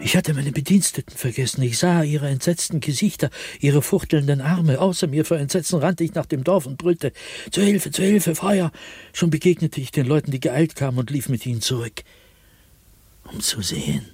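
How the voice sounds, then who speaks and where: A man's voice: a little high, lively intonation, a little mellow, husky, no echo, clean studio sound. An actor playing a terrified man descending into madness, delivering a theatrical, fervent diary monologue in a radio play. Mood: frantic. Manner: haunted, theatrical, fervent, with dramatic pauses and whispers.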